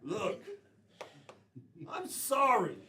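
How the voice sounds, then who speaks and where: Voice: medium pitch, big pitch swings, drawn-out words, echoey room, recorded from a distance, very noisy. An improv comedy player rambling hesitantly through a scene on a live stage, with lots of fillers and restarts.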